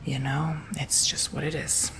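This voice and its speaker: A man whispering softly and gently through an ASMR roleplay, as if doing someone's makeup. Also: on the high side, level tone, noisy background. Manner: soft whispering, slow and gentle.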